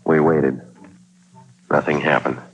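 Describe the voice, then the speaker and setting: A man acting a terse police sergeant who narrates his case in flat, matter-of-fact 1940s radio style. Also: rumbling low, takes it slowly, muffled recording, background noise. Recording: old radio drama recording.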